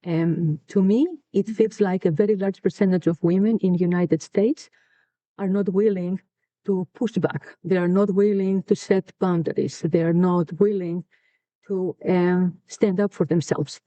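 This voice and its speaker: A middle-aged woman from Greece speaks with a Greek accent, weighing her words with frequent pauses. Her voice is mellow and relaxed. The recording sounds dry and somewhat muffled. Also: fairly low pitch, dry recording. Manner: earnest, thoughtful, pauses with um. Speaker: co-host. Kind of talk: casual conversation.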